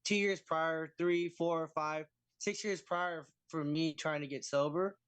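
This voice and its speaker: A man's voice: a little high, steady tone, slow, a bit bright, clean tone, dry recording. A man on a video call sharing at a recovery meeting, hesitant and full of fillers.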